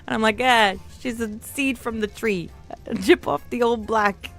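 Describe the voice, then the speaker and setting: An exuberant mob boss lady played by a woman chats away over music. She is animated and playful, rambling through a game stream in character. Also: on the high side, unhurried, voice pushed up. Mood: amused, animated. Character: exuberant mafia don woman with a playful accent. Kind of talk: role-play live stream chat. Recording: music playing behind the voice.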